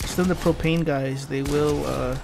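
A man's voice: a little high, steady tone, measured pace, creaky, over music. A young man with a congested, nasal voice from allergies, giving casual, rambling gameplay commentary full of fillers. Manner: rambling, filler-heavy explaining. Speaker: gaming channel host. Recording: noisy home recording.

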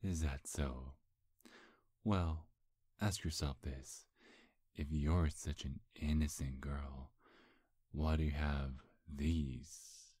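Very deep-voiced, flirtatious demon seducer played by a man. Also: fairly even intonation, slow pace, long pauses, dark tone, no room sound, heavily acted. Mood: sly, teasing. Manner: flirtatious, sly, hesitant stammers. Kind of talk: intimate ASMR roleplay. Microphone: close, dry microphone.

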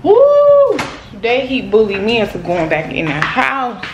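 A woman's voice: fairly high pitch, huge pitch swings, unhurried, lo-fi sound, background noise. Chatty young woman vlogging, echoey room. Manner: chatty and candid, talks to viewers and her dog. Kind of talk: casual vlog chat. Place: apartment rooms and a parked car.

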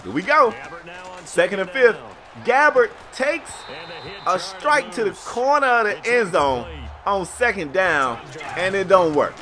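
An American man giving casual, slangy play-by-play commentary on a sports video game in an animated storytelling manner. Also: sits high, a little slow.

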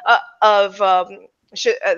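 Woman, young adult, podcast host, bright voice, slightly high, casual chat, headset, dull video-call sound. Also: little pitch movement, slow, smooth. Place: small room at home, video call.

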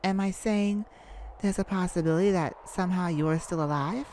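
A woman's voice: lowish voice, normal speed, warm tone, slight background noise, close to an everyday voice. A woman voicing a courteous, easily flustered, ageless celestial afterlife caseworker in an ASMR roleplay, hesitant and apologetic. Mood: pleased. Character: polite, flustered, thousands-year-old celestial afterlife caseworker.

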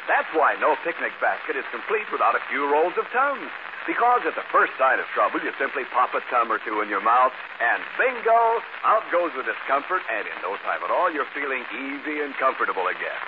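An actor playing a cheerful radio pitchman, delivering upbeat, emphatic sales patter as a 1940s announcer. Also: big pitch swings, brisk, lightly acted. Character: relentlessly cheerful male friendly radio pitchman. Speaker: commercial announcer. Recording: noisy, phone-like 1940s radio recording.

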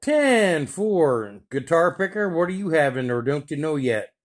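A man with a slightly high voice chats casually over CB radio. He uses operator lingo in an easy, conversational way. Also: very animated, a little slow. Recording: CB radio chatter, radio sound.

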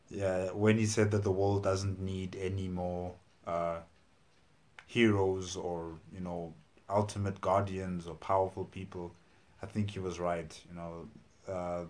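A man in his thirties with a low voice comments casually on what he has just watched. He talks slowly, pausing to think aloud as he works through his thoughts. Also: a little mellow. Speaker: reaction video host. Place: indoor room at home.

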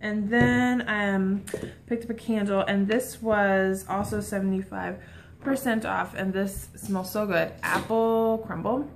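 Young woman, casual vlogger, informal chatty delivery, mid-pitched voice, small room at home, noisy camera audio. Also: fairly even intonation, unhurried, vocal fry. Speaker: beauty and shopping vlogger.

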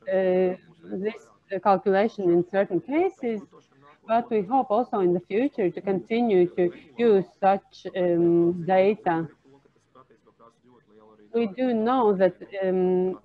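A woman working as a simultaneous interpreter at a panel discussion, speaking in halting, separate phrases. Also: mid-range voice. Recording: narrow, tinny phone-like line.

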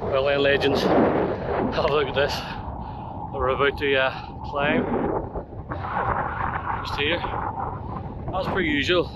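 A man's voice: mid-range voice, steady tone, takes it slowly, long pauses. A man in his forties chatting casually and colloquially to a camera as he vlogs a hike outdoors. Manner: colloquial, matey. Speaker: hiking vlogger. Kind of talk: casual outdoor vlog chat. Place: open mountain hillside, outdoors. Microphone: action camera at arm's length. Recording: windy outdoor action-camera audio, loud noise. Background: loud wind noise.

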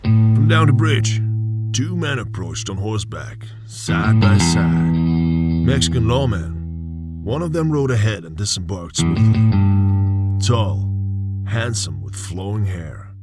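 A man narrating a western radio serial in a measured storytelling manner. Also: lowish voice, expressive. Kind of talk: scripted narration. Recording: narration over loud guitar music.

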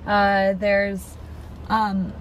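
A woman's voice: mid-range voice, completely flat delivery, extremely slow. A young woman in her twenties chatting casually to her camera while driving at night, rambling with lots of fillers. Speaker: vlogger. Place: inside a car at night. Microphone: camera on a dashboard mount. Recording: camera mic with road and car noise.

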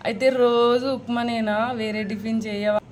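A woman's voice: fairly high pitch, level tone, moderate pace. A young woman with a Telangana Telugu accent talks casually on a phone call in a plaintive, troubled manner. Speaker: vlogger making a prank phone call. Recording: clearly noisy background ambience.

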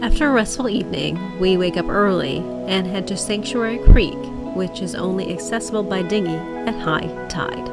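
A woman giving plain, even voice-over narration for a travel vlog. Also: mid-range voice, normal speed, gravelly, loud music behind the voice.

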